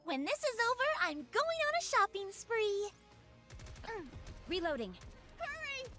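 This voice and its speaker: A woman's voice: very high voice, very animated, a little slow, bright, forceful tone, soft background music, exaggerated voice. An actress voicing a flashy, breezy, money-loving young woman fighter in a video game, perky and showy. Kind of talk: video game battle barks.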